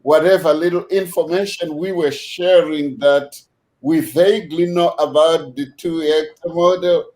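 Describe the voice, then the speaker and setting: A man hosting a video call discussion, measured and unhurried, with many fillers as he thanks his guests. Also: fairly high pitch, steady tone, darkish tone, poor audio. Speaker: host.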